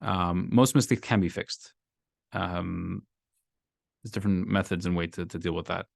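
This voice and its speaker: Animated rabbi teaching a class, dry sound. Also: male voice, low-pitched, moderate pace, vocal fry, no room sound. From Brooklyn, USA. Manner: animated, repeats phrases, emphatic rising lines. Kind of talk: casual Torah class talk. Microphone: microphone in class.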